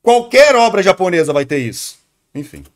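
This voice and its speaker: Chatty, higher-pitched Brazilian male streamer. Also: big pitch swings, normal speed. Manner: chatty, rambling, informal. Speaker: streamer reacting to a video. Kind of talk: casual off-the-cuff reaction commentary.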